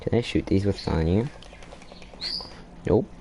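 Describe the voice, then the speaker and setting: A man with a deep, dark-toned voice talks through a game he is playing, in an unhurried, laid-back drawl. He thinks aloud, breaks off mid-sentence and restarts, and reacts with short offhand exclamations. Faint background noise sits under the voice. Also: animated. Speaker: gamer playing through a story quest. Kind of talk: casual let's-play commentary.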